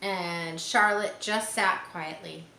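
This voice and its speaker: A woman reading a children's story aloud expressively, pausing to explain things as if to schoolchildren. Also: on the low side, fairly even intonation, gravelly, bright, forceful tone. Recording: roomy echo, faint background noise.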